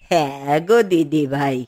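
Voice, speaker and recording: A woman voicing a gentle elderly village grandmother in a fairy-tale cartoon, speaking in a drawn-out, reassuring way. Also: deep voice. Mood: kindly explaining.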